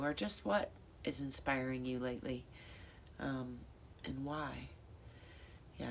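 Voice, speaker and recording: A woman's voice: low voice, a little hiss or hum. A middle-aged woman with a stuffed-up nose, talking reflectively to camera in a rambling, thoughtful way, with many fillers and self-corrections. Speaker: vlogger. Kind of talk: reflective casual monologue.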